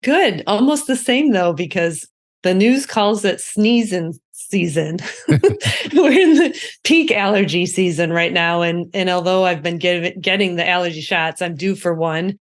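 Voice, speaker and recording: An American woman co-hosts a podcast in a relaxed, friendly way, laughing easily and offering short affirmations. Her voice sits a little low for a woman. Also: measured pace. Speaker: podcast co-host. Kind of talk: casual chat.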